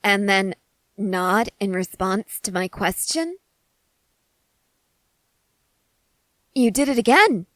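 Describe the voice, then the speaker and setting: Woman acting as inquisitive female researcher, eager and apologetic, formal wordy phrasing, mid-range pitch, dry recording, audio roleplay. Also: animated, measured pace, fairly bright tone, no room sound. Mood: astonished. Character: excitable, curious woman scientist studying a wild wolf. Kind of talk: scripted ASMR audio roleplay to the listener.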